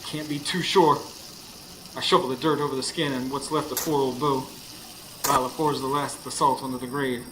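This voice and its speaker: An actor playing an anxious, determined husband who narrates a ghost hunt in a folksy, urgent way in a live radio drama. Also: male voice, medium pitch, steady tone, moderate pace, natural voice. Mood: tense, determined. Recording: live stage recording, clear background noise.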